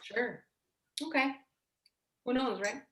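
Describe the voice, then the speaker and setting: A woman's voice: fairly high pitch, slow pace, fairly bright tone. A woman acting a blunt, teasing, protective young woman in a staged play reading, with casual, sweary, sardonic delivery. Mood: protective, prodding. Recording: roomy, echoey sound.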